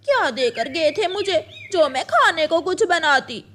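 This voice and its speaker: A woman's voice: very high-pitched, animated, a little slow, a bit bright, a little hiss or hum, full character voice. A woman voicing a worried, reproachful mother crow and wife, earnest and admonishing, in a cartoon moral story. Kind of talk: acted dialogue in Hindi moral cartoon story.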